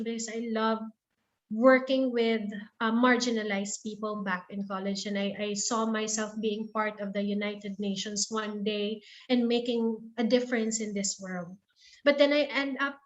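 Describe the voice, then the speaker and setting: A woman's voice: a little high, fairly bright tone, muffled recording. A woman with a Filipino accent, not a native English speaker, giving an earnest career talk to students and often restarting her words. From Philippines. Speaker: guest speaker.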